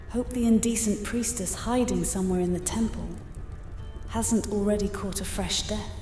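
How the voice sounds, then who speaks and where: Haughty high priestess in echo played by a woman. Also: dark tone, close to an everyday voice. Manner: imperious, theatrical, defensive. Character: haughty, indignant high priestess, a fake oracle. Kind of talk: audio drama acting. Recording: echoing chamber reverb, music behind.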